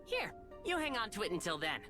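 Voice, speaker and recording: A woman's voice: mid-range voice, sing-song, fairly quick, lightly acted. A woman voicing a cheeky, upbeat young tinkerer in a video game, talking in a breezy, slangy, boastful way. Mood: breezy, cheerful. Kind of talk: scripted video game voice acting. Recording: loud music behind. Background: loud game music.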